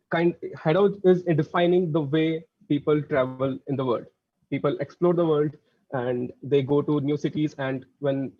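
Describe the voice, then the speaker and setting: A man giving a company presentation over a video call, talking quickly and loosely with many fillers and restarts. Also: a little high, mellow, no echo. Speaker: engineering executive speaker. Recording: dull, dry video call audio.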